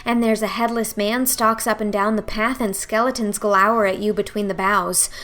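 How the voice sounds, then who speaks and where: A woman's voice: mid-range voice, fairly even intonation, normal speed, a bit bright, lightly acted. A woman playing an imaginative, eager and easily frightened young girl in a storybook reading, breathless and theatrical. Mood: dramatic dread.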